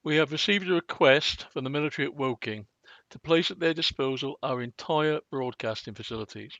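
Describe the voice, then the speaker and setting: A man's voice: fairly high pitch, fairly quick, a bit bright, no echo, highs cut off. A man playing a broadcasting director who reads a formal official statement, in a radio drama. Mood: grave. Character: grave male broadcasting director making a statement.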